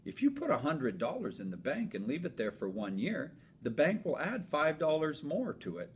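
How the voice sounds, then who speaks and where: A man's voice: huge pitch swings, moderate pace. A man reading aloud as a patient, reasonable father in a storybook, explaining things with upbeat emphasis. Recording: noisy with low rumble.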